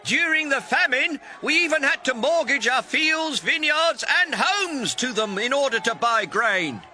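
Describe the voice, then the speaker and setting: Shrill, desperate farmer. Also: male voice, animated, measured pace, sharp tone, a little hiss or hum, full character voice. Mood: desperate, aggrieved. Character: desperate, aggrieved male farmer crying out in hardship.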